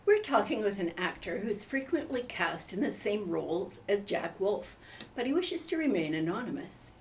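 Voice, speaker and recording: A woman's voice: medium pitch, very animated, moderate pace, a little hiss or hum. A woman playing a polite, sympathetic interviewer in a scripted comedy mock news report. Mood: polite, professional.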